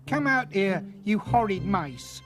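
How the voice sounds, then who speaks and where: A man doing a shrill whiny woman cook. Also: very animated, normal speed, over music. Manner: whining, simpering, rough-spoken.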